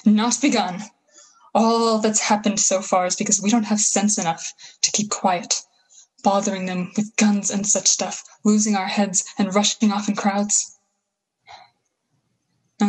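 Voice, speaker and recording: A woman playing a bitter, scheming survivor soldier in a radio play, talking in slangy, halting, emphatic phrases. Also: medium pitch, little pitch movement, breathy edge, low-quality recording. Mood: grim, resolute. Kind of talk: 1930s-style radio play acting.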